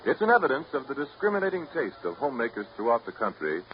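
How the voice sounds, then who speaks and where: Animated American 1930s radio announcer, man. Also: medium pitch, fast talker. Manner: formal, polished, courteous. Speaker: radio announcer and sponsor's pitchman. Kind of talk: 1930s radio announcing, scripted sponsor message. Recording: muffled, noisy 1930s radio broadcast recording.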